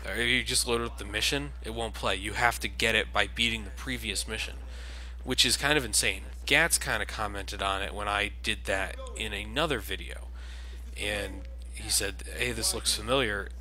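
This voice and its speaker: Low-voiced man, sardonic game commentary. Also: moderate pace, pushed and bright, poor audio. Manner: sardonic, complaining, sweary. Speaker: let's play commentator. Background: video game sounds.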